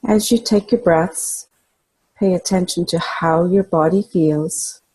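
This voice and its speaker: A woman leading a guided imagery meditation in a calm, soothing, instructional way, leaving pauses between phrases. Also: fairly low pitch, slightly rough, low-quality recording.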